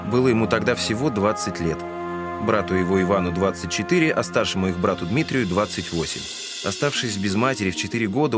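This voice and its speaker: A man narrating a literary audio drama in a measured, solemn and expressive manner. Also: low-pitched. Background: prominent music.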